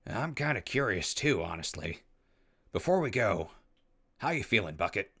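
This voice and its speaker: A man's voice: on the low side, very animated, moderate pace, pushed and bright, slightly rough, heavily acted. A man playing a wry, easygoing ghoul who narrates a post-apocalyptic audio drama in a dry, casual way. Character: wry, easygoing, irreverent ghoul wanderer narrating his journey.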